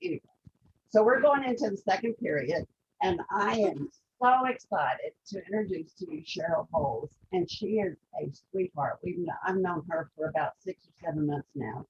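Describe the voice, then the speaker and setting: A woman's voice: fairly low pitch, lively intonation, moderate pace, darkish tone, lo-fi sound, slight background noise. An older woman with a Texas accent, chatting enthusiastically and introducing a guest.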